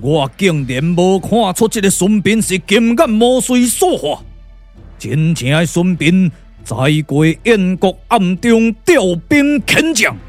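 An imperious old Taoist villain declaims in a Taiwanese accent for a puppet-theatre radio play. The delivery is pompous and drawn out, with dramatic swings in pitch over faint music. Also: male voice, sing-song, slow, no echo, heavily acted. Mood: vengeful. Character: imperious, vengeful ancient male Taoist sage, villainous.

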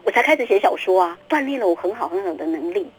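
A woman's voice: mid-range voice, expressive, fast, a little hiss or hum. A middle-aged woman with a Taiwanese Mandarin accent, explaining things emphatically as the guest author in a radio interview, repeating words and acting out questions. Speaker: guest, children's book author.

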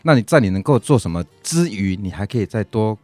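A man's voice: on the low side, big pitch swings, fast talker, a little mellow. A man with a Taiwanese Mandarin accent in a casual interview as an activist guest, speaking earnestly and repeating himself for emphasis. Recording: music playing behind the voice.

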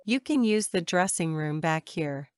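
A woman reading short English phrases for a language lesson, saying each one slowly and carefully twice. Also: lowish voice, animated, smooth, no echo, clean studio sound. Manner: measured, careful enunciation. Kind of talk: slow repeated drill phrases.

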